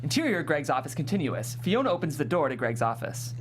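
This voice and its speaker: A woman's voice: low-pitched, big pitch swings, quick pace, soft background music. A woman reading stage directions as scripted narration at a comedy table read. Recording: faint room noise and hum.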